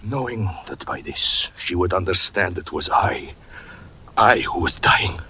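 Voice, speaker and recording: A haunted, desperate storyteller. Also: male voice, low-pitched, expressive, measured pace, pushed and bright, slightly rough, heavily acted, rough recording. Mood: dread. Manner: intense, breathless dramatic narration. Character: haunted man recounting his own murder, desperate. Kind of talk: 1940s radio acting.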